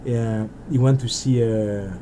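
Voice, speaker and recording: A man's voice: mid-range voice, steady tone, takes it slowly, background noise. A man with a French Canadian accent, a French native speaker, rambling through a casual game developer vlog and restarting his sentences often. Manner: rambling and self-interrupting, with frequent restarts.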